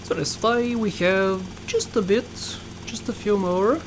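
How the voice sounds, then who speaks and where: A man's voice: sits high, a little slow, background noise. A man with a Bulgarian accent giving casual, playful gameplay commentary, chatting to viewers and often laughing at himself. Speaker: gaming YouTuber.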